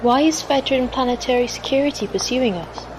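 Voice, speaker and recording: A woman's voice: sits high, fairly quick, background noise, lightly acted. A woman in a science fiction audio drama playing a loyal, obedient female squire who speaks formally and matter-of-factly. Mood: focused, precise. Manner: formal, precise, matter-of-fact.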